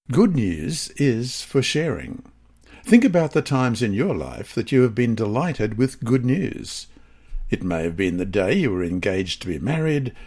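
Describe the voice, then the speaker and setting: A man with a husky voice reads a scripted devotional lesson aloud in a measured, careful manner. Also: mid-range voice, a little mellow. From Australia. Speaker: lesson reader.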